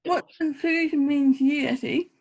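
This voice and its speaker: A woman's voice: high voice, steady tone, normal speed, darkish tone. A woman hosting a panel webinar over a video call, upbeat, thanking each speaker and handing over. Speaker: webinar host.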